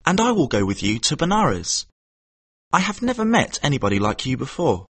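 Man playing a husky, lively streetwise boy. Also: on the high side, moderate pace, no echo, pitched above the performer's own voice, full character voice. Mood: eager. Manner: eager, pleading, persuasive. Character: cheeky, streetwise orphan boy, eager and wily.

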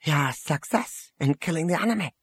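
A man's voice: on the high side, expressive, moderate pace, slightly rough, no room sound, pitched above the performer's own voice. A man playing a proud, fatalistic young woman warrior in an audiobook, terse and defiant.

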